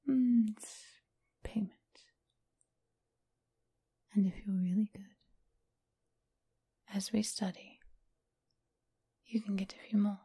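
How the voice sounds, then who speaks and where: A woman playing a teasing, doting, seductive vampire mistress in a whispered, breathy ASMR roleplay. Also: medium pitch, fairly even intonation, mellow, exaggerated voice. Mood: coaxing, teasing. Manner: slow, teasing, affectionate purr.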